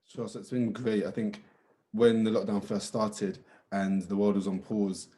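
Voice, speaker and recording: A man giving measured, earnest remarks as an investor on a panel. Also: lowish voice, flat delivery, dark tone. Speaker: angel investor, panel guest.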